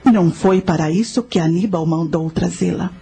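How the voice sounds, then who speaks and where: A woman playing a calm, instructive guide in a radio drama, measured and didactic. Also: on the low side, creaky, a little hiss or hum.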